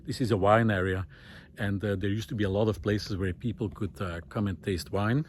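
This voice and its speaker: A man's voice: lowish voice, steady tone, brisk, slight background noise. A man vlogging outdoors as he walks, giving a reflective, unscripted monologue in a hesitant, musing way with trailing asides. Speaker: vlogger hosting a walking talk series. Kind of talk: reflective unscripted monologue to camera while walking. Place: outdoors, walking through a village among vineyards.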